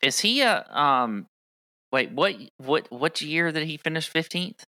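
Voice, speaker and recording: A man co-hosting a casual golf show chat, jokey and blunt, full of questions. His voice is bright and sharp, and his pitch leaps around. The recording is dry. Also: animated, measured pace, dry recording.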